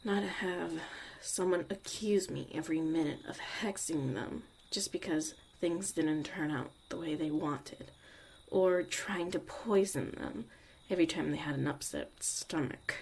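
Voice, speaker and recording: A woman playing a jaded, blunt young witch mother in a soft, sardonic ASMR roleplay about surviving the apocalypse. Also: sits low, measured pace, vocal fry, hardly any pauses, slight background noise. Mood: wistful, resentful. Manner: sardonic, casual, swearing, dismissive.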